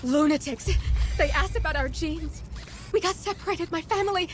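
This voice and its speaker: Terrified female civilian captive, very high and tearful, pleading, sci-fi game. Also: normal speed, a little hiss or hum. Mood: frightened, pleading. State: tearful, frightened.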